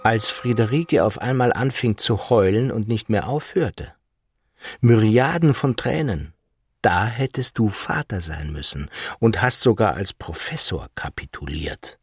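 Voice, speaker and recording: A man's voice: lowish voice, lively intonation, measured pace, slightly rough, dry recording, studio quality. A man giving a scripted literary narration in the second person, as in an audiobook.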